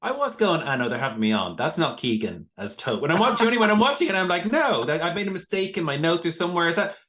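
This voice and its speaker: A man's voice: a little high, very animated, quick pace, a bit bright. A man with an Irish accent, an effusive and informal interviewer in a chatty celebrity interview.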